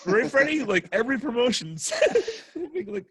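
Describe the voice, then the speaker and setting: A man hosting a casual chat, telling stories in a jokey, animated way and prone to laughing. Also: very high-pitched, a little slow, husky, no echo. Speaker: podcast host and military veteran telling stories.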